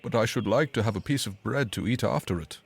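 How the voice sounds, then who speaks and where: A man's voice: on the low side, fast talker, gravelly, slightly rough. A man voicing a hungry, tired male dog who speaks plainly and unhurriedly. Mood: easygoing.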